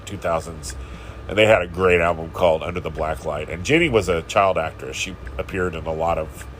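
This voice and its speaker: A man hosting his own podcast in casual, rambling solo talk, with a just-woken morning voice, recording from bed at home. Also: low voice. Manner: rambling, self-deprecating, chuckling. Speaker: podcast host.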